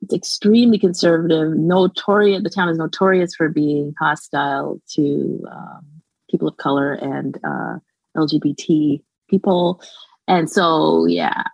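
A woman's voice: deep voice, steady tone, a little slow, gravelly, darkish tone, husky, no room sound, muffled recording. A Canadian woman with a light Jamaican lilt, speaking as a guest scholar in a casual interview, rambling with many restarts and fillers and laughing often.

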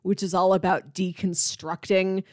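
A woman's voice: fairly low pitch, little pitch movement, measured pace, darkish tone, no room sound. Enthusiastic woman podcast co-host, dry close sound. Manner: enthusiastic, conversational, peppers talk with "like". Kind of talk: casual film discussion chat.

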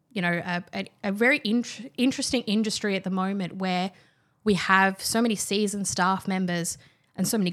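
A woman's voice: medium pitch, a bit bright. A young woman, chatting casually as a guest about her work, with plenty of fillers and restarts. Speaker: guest, wine trainer. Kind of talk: casual chat.

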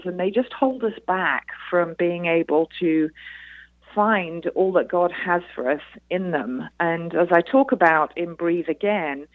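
A woman's voice: on the low side, dry recording. A British woman, middle-aged, answering radio interview questions over the telephone in an earnest, explanatory way. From Britain. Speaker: interview guest, author. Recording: muffled, band-limited phone line.

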